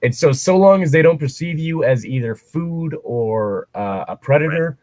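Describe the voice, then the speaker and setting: A man's voice: fairly high pitch, expressive, normal speed, darkish tone, no echo. A man reading fan fiction aloud in a comedic way, often breaking off to make joking comments in a casual chat style.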